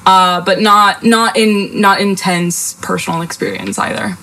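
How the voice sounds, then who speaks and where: A woman's voice: mid-range voice, big pitch swings, a little slow, pushed and bright, low-quality recording. Young woman from Spain, American accent. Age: 20s. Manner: hesitant, filler-heavy, laughs easily. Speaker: podcast guest. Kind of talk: casual podcast chat.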